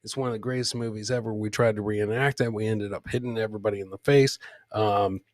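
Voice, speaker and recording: A man's voice: fairly low pitch, fairly even intonation, quick pace, a little mellow, no echo. A man hosting a live comedy podcast, chatting informally and rambling as he introduces clips and talks to viewers. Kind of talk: casual live-stream chat, riffing on clips and reading viewer comments.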